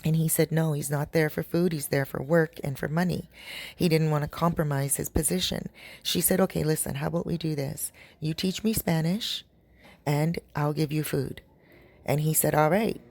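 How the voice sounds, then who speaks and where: A woman with a raspy, dry-throated voice, chatting to her viewers as she tells a long story. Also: low-pitched, fairly even intonation, moderate pace, a little mellow. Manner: chatty, addresses viewers directly. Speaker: storytelling vlogger. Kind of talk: casual storytelling. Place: home in a Caribbean town.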